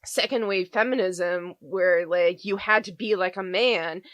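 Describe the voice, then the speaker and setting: A woman's voice: on the low side, a little slow, a bit bright, no echo. Young woman cohost, casual chat, dry close mic, fillers everywhere. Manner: chatty, many fillers and laughs. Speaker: podcast cohost.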